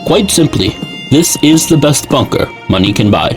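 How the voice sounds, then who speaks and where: A man's voice: mid-range voice, big pitch swings, normal speed, music in the background, lightly acted. A man playing a polished, formal salesman who is eager to sell, in an audio drama. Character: polished male salesman pitching a luxury survival bunker.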